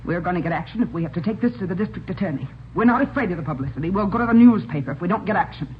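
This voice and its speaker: A woman's voice: lowish voice, expressive, racing, close to an everyday voice. A woman acting an indignant, accusing wife demanding action in an old radio drama, on a dull, hissy recording. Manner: insistent, accusing. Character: shrill, indignant married complainant demanding action.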